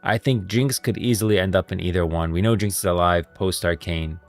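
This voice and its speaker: A man's voice: deep voice, steady tone, fairly quick, faint music behind. A man hosting a livestream with casual, emphatic and opinionated commentary on game lore. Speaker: livestream host.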